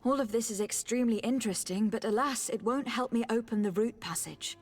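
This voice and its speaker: A woman playing a courteous, inquisitive noblewoman in a fantasy video game, formal and thoughtful, with a husky voice. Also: fairly high pitch, brisk, soft background music, close to an everyday voice. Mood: thoughtful, composed. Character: courteous, inquisitive young noblewoman envoy and adventurer. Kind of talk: video game dialogue acting.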